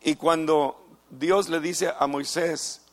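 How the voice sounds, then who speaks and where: A middle-aged man from the United States preaching a sermon in a slow, deliberate way, repeating key phrases and pausing between them. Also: on the high side, fairly even intonation.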